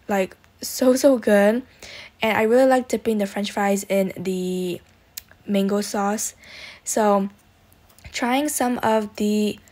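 Young female food vlogger, mid-pitched, casual voice-over review, gushing and heavy on superlatives. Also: darkish tone. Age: young adult.

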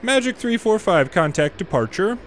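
A man doing gameplay commentary, mixing measured air traffic control phraseology with muttered casual asides. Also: sits high, very animated. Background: game airport ambience.

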